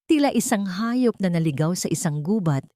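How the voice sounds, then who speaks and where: Female narrator for dramatic audio fiction: lower register, measured and theatrical, recorded very dry. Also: animated, mellow, no room sound. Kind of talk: first-person dramatic scripted narration.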